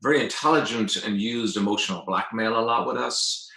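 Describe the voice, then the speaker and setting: A man's voice: fairly low pitch, fairly quick, vocal fry, sharp tone. A man in his fifties with an Irish accent, telling his personal story as an interview guest in a conversational way. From Ireland. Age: 50s. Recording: roomy, echoing sound.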